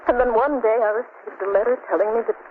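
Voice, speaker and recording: Distraught, high-voiced young woman radio actress. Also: fast talker, natural voice. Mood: anxious, sad. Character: anxious, distraught young woman searching for missing fiancé. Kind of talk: 1940s radio melodrama acting. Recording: tinny, noisy old radio recording.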